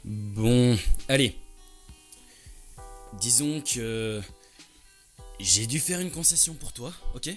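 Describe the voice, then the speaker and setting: A man's voice: medium pitch, slow, pauses often, faint music behind, lightly acted. A man playing a passionate, touchy lynx who owns a photo lab, talking in an informal, slangy way in an audio story. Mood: annoyed. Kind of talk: French audio story dialogue.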